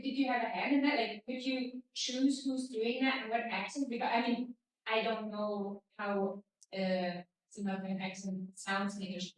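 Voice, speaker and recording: A woman in the audience asking a spontaneous question at a live event. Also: fairly high pitch. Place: indoor convention event room. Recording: very echoey hall, distant microphone.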